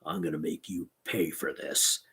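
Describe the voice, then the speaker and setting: A man voicing a greedy, brutal man in a fairy tale, speaking bluntly and gruffly. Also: mid-range voice, big pitch swings, brisk, a bit bright, exaggerated voice. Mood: vengeful.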